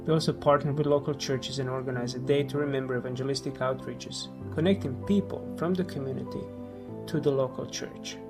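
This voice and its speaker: A man's voice: medium pitch, steady tone, fairly quick. Croatian-accented man narrating over music. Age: late 40s. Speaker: missionary. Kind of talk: scripted video narration. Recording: voice-over with clear background music.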